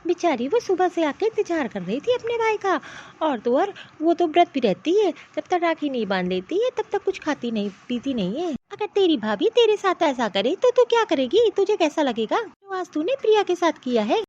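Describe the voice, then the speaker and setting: A woman's voice: very high-pitched, very animated, normal speed, darkish tone. A woman voicing a protective middle-aged mother-in-law in a cartoon family story, reproachful and insistent, piling up pointed questions. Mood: indignant, scolding. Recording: dull, lo-fi cartoon voice track.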